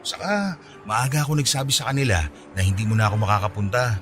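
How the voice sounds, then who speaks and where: An actor playing an eager, caring, shyly smitten young office worker in a Filipino radio drama, with a Filipino accent. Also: male voice, fairly low pitch, expressive, quick pace, over music, lightly acted. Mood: reassuring. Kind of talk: radio drama acting in Taglish.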